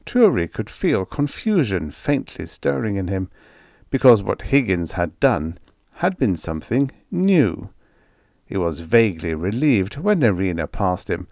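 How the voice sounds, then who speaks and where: Low-voiced, expressive male audiobook narrator. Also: measured pace, vocal fry, dry recording, clean studio sound. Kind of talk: scripted narration of a vintage science fiction story.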